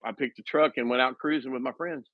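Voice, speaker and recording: A man's voice: mid-range voice, fairly even intonation, dry recording, dull audio. A man in his fifties, a guest making casual conversation, who often restarts his sentences and jokes at his own expense. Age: 50s. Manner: halting, frequent restarts; self-mocking humor.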